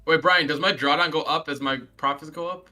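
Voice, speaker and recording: A man's voice: on the high side, fairly even intonation, a little fast, pushed and bright, faint music behind. A young man bantering casually on a stream, teasing in slang and saying bro a lot.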